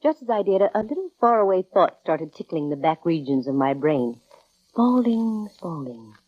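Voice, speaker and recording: A woman's voice: on the low side, very animated, moderate pace, slightly rough, no room sound. A woman playing a wry, self-assured young private detective in 1940s radio drama style, with dry, breezy delivery. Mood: wry narration. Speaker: lead actress in radio detective series. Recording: dull vintage radio recording.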